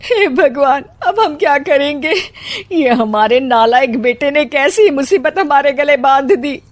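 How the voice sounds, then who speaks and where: A woman's voice: high voice, animated, a little slow, dry recording, voice pushed up. A woman voicing an anxious, overly obliging middle-aged mother-in-law with dramatic exclamations. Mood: panicked.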